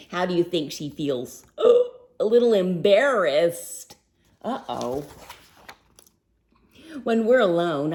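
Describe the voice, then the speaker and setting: Slow, animated woman reading a storybook. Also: fairly low pitch. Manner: asks listeners questions, animated. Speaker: storybook reader. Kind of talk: expressive children's read-aloud narration.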